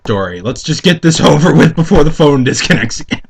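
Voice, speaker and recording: A man's voice: fairly high pitch, animated, fairly quick, gravelly, slightly rough. A young adult man co-hosting with casual, joking commentary, interjecting and repeating himself with some swearing. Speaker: co-host commentator.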